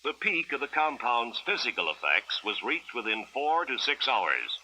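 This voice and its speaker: A man's voice: medium pitch, huge pitch swings, moderate pace, sharp tone, a little hiss or hum, low-quality recording. An American man reading formal, clinical narration for an educational film in the style of the 1960s, on an old film soundtrack.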